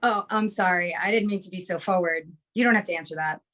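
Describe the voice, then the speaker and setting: A woman's voice: lowish voice, quick pace, fairly bright tone, lightly acted. A woman playing a kindly, apologetic middle-aged prostitute in a screenplay table read, tentative and polite. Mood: curious, apologetic.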